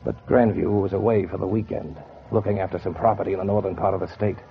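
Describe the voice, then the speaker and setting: A man's voice: deep voice, steady tone, fast, gravelly. An actor playing a bitter, cold-blooded man bent on revenge, narrating in brooding 1940s radio noir style with sardonic deliberation. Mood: calculating, sardonic. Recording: dull, noisy 1940s radio recording.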